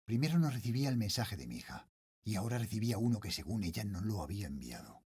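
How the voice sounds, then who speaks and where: A man's voice: on the low side, sing-song, quick pace, darkish tone, breathy edge, no room sound, clean studio sound, heavily acted. A middle-aged man with a Spanish accent from Spain playing an uneasy night watchman and father, narrating a horror tale in a suspenseful, brooding way. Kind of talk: dramatic first-person horror narration.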